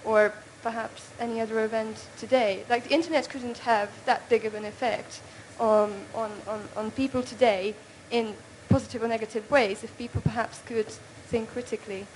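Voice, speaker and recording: A woman with a slightly high voice posing a question from the floor of a conference. She is measured and tentative, often hedging with "perhaps". A faint background noise sits behind her. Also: steady tone, a little mellow, low-quality recording. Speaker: audience member.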